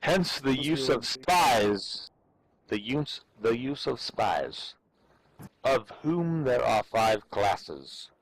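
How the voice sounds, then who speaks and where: A man's voice: medium pitch, very animated, extremely slow. A man giving a theatrical, portentous dramatic reading of an ancient military treatise, with playful asides. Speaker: amateur reader performing for a live audience of gamers. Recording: clearly noisy background.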